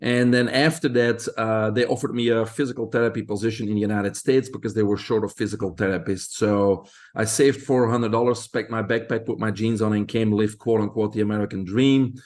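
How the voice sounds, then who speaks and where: Casting: Belgian-accented man in his fifties, somewhat low voice, unhurried storytelling with pauses. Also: fairly even intonation, darkish tone. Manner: plain storytelling with frequent "uh" pauses. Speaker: guest expert.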